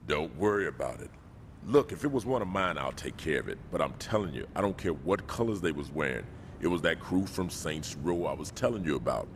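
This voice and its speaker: An actor playing a stern, domineering, blunt crime boss in a video game, with an American accent. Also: male voice, rumbling low, animated, a little fast, a little hiss or hum. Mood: controlled, threatening. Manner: authoritative, blunt.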